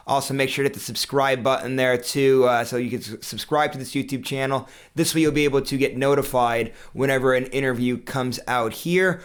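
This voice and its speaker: Male host, smooth resonant voice, rambling questions, lots of uh. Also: medium pitch. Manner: long rambling questions with fillers. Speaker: interview host. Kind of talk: interview questions.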